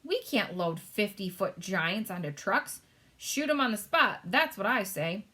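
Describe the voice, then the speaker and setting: A woman's voice: medium pitch, expressive, unhurried, bright, forceful tone. A woman reading aloud and voicing an impatient, blustering male army general. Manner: gruff, impatient. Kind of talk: storybook read-aloud.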